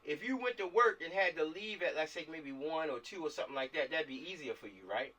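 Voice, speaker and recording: Bright-voiced man, high-pitched, leading rehearsal. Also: animated, a little fast. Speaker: filmmaker leading a table read. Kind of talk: casual group discussion. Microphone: video call. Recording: distant mic on a video call.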